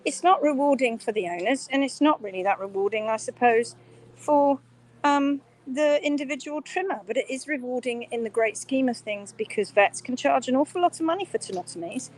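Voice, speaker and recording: A woman's voice: high-pitched, brisk, darkish tone, low-quality recording. A woman hosting a live-streamed hoof-trimming workshop, speaking emphatically and quizzing her students, filmed on a phone indoors. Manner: emphatic, reads viewer comments aloud.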